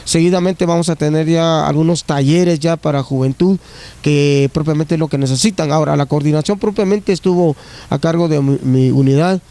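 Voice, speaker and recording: A man's voice: a little high, fairly even intonation, fairly quick, mellow. A man from Guatemala, a municipal youth coordinator, answering an on-site interview at a stadium in a hesitant way, with many fillers and restarts.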